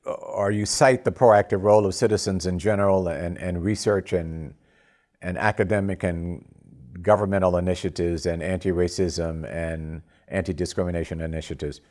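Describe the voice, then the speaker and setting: A man interviewing as a podcast host, putting questions in halting, emphatic short phrases. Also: deep voice, fairly even intonation, a little mellow.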